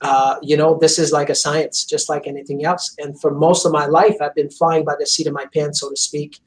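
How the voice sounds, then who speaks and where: Earnest man, flat delivery. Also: fairly high pitch, fairly quick, poor audio. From Montreal, Canada. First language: French. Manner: earnest, intense, many "you know" fillers. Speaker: chiropractor guest. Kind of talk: interview over video call.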